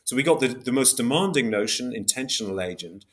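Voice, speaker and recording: A man's voice: mid-range voice, lively intonation, a little fast, a bit bright. A man explaining concepts as an academic interview guest, in a precise but hesitant way, often correcting himself. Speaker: philosopher guest, book author.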